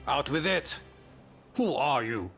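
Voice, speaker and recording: An actor playing a stern, suspicious guard in a samurai video game, curt and commanding. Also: male voice, medium pitch, expressive, takes it slowly, fairly bright tone, faint music behind. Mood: hostile, commanding. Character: stern, suspicious male guard barking orders. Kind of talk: video game cutscene acting.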